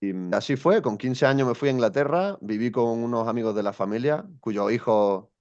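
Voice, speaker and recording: A man's voice: medium pitch, normal speed, darkish tone, highs cut off. A young man from Spain, a guest telling his story in an interview, thoughtful and hesitant with many restarts. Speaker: guest, education coach.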